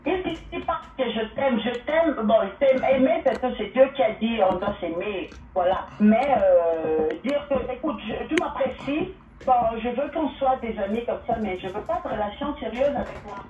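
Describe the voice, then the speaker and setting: A woman whose first language is Portuguese speaks emphatically down a tinny phone line, often repeating her point. Her voice sits fairly high. A faint hiss runs under the call. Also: animated, normal speed. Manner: emphatic, repeats herself, exclaims hallelujah. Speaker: caller. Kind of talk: opinionated phone-in talk.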